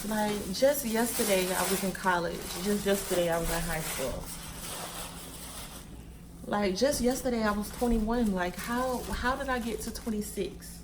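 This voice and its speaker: A woman's voice: mid-range voice, unhurried, noisy background. Chatty young woman talking to viewers. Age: 20s. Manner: chatty, repeats phrases, addresses viewers as y'all and sis; packing wigs while talking. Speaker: vlogger. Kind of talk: casual chat to viewers.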